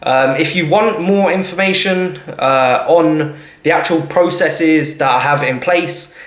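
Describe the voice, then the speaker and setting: A man speaks casually to his viewers in a voice pitched a little high for a man. His delivery is hesitant and reassuring, and he often rounds off a point by checking that it landed. The sound is noticeably echoey, as in a bare room. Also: measured pace. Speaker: vlogger and marketing agency owner. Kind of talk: informal talk to camera.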